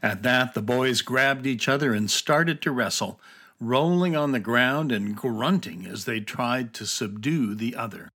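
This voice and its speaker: A man's voice: mid-range voice, measured pace, bright, forceful tone. A man narrating a scripted children's adventure story.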